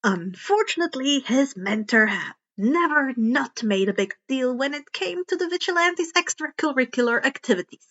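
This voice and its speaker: A woman's voice: sits high, expressive, moderate pace, few pauses, a bit bright. A woman reading fan fiction aloud as narrator, now and then stumbling and rereading phrases. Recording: dull, muffled lo-fi home recording.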